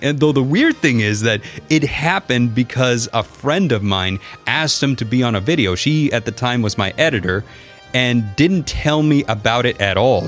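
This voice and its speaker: A man narrating a personal story as a voice actor, in an expressive, dramatic storyteller's delivery. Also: medium pitch. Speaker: voice actor and content creator. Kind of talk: personal storytelling with numbered lessons. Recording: music behind the voice. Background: background music.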